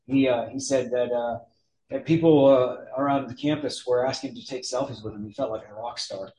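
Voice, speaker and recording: A man's voice: mid-range voice, fairly even intonation, normal speed, warm tone, slight background noise. Hesitant man with a roomy echo. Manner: hesitant, many uhs. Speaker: club member introducing the speaker.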